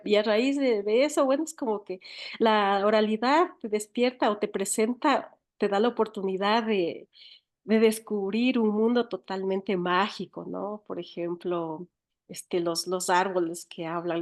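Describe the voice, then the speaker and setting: A woman with an Ecuadorian accent giving reflective interview answers, speaking thoughtfully and haltingly, often repeating words as she goes. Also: medium pitch, a little mellow. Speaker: interviewed writer, storyteller and educator.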